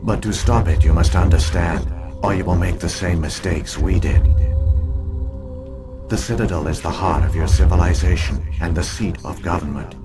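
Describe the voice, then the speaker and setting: A man's voice: low-pitched, lightly acted. A man voicing a grave ancient AI. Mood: solemn. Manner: measured, grave, formal delivery. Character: ancient Prothean AI archive program, solemn. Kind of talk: video game voice acting. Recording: music playing behind.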